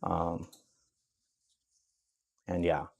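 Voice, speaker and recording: A man's voice: low-pitched, level tone, drawn-out words, gravelly. A young man who grew up speaking Russian talks casually while cooking, rambling and restarting his sentences. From grew up speaking Russian, learned English at eight. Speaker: vlogger cooking on stream.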